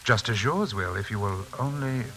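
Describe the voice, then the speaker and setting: An actor playing a pompous, silkily menacing uncle and occult hypnotist in a 1940s radio suspense drama. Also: male voice, lowish voice, moderate pace, sharp tone. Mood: silkily coaxing. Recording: hissy, crackling 1940s radio recording.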